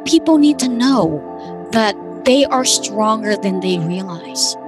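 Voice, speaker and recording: A woman's voice: mid-range voice, animated, takes it slowly. A woman author from Cambodia telling her personal story. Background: music.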